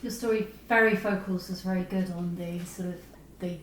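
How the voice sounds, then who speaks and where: A woman's voice: fairly low pitch, steady tone, normal speed. Distant, echoey woman interviewer. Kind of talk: conversational literary interview. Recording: echoey, distant mic with background noise.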